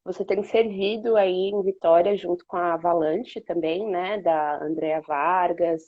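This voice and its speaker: A woman's voice: on the low side, steady tone, a little slow. A woman with a Brazilian accent hosting a casual live-stream chat, affirming her guest often. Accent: Brazilian Portuguese. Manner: warm affirming interviewer, frequent "uhum". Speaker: host. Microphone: video call. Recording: dry, dull live-stream audio.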